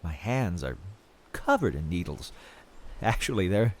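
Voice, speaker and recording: Man acting as obsessed male researcher, audio drama monologue, eerie wonder, halting phrases, extremely varied intonation. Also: low voice, big pitch swings, heavily acted. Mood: halting, distracted. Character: eerily fascinated male field researcher slipping into madness. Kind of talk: audio fiction monologue, recorded field log.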